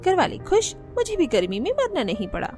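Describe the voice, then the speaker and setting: High, lively cartoon daughter-in-law, smug and scheming, light music behind. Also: female voice, full character voice. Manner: bright, smug, quick to plead. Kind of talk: cartoon voice acting.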